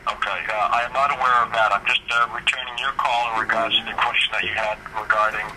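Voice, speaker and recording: A man's voice: fairly low pitch, level tone, quick pace, pushed and bright. A man speaking formally as an official on a telephone, guarded and hesitant in his answers. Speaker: police official returning a call. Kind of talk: formal phone conversation. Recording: noisy telephone line, heard at second hand.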